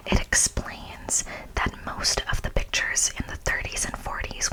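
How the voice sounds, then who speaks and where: A woman whispering as an ASMR host, softly reading from a script with casual asides. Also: low-quality recording. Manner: soft, unvoiced whispering.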